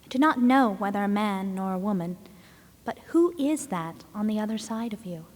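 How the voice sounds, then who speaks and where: Female reader, solemn and deliberate, dramatic verse recital, medium pitch, long hall reverb. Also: expressive, a little mellow.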